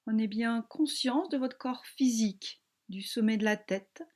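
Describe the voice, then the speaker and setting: A woman yoga teacher guiding a relaxation meditation in a calm, gentle, soothing manner with long pauses. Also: on the high side.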